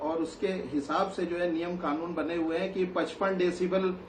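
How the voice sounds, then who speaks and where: A man's voice: high voice, fairly even intonation, normal speed. A man explaining as an expert guest, hesitantly repeating phrases and mixing in English terms. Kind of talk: explanatory TV interview. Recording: roomy, noisy remote link.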